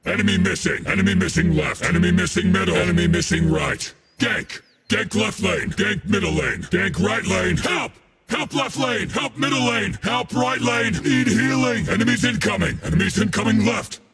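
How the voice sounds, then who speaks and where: A man voicing a cocky, menacing demon-powered gang boss for a video game, gravelly and full of boastful taunts. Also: sits high, moderate pace, fairly bright tone, soft background music, exaggerated voice. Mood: urgent. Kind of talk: video game character voice pack.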